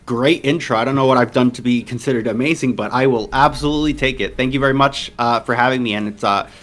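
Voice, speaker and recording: A man in his twenties from Portugal with an American accent, chatting casually as an interview guest, relaxed and rambling with many fillers. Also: medium pitch, quick pace. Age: 20s. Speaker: interview guest, competitive game player.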